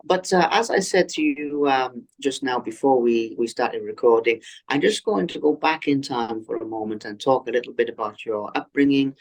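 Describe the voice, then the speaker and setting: A man hosting an interview podcast, earnest and effusive, often pausing on "um" as he builds his questions. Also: medium pitch, poor audio. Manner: earnest and effusive, with frequent ums and restarts. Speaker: podcast host.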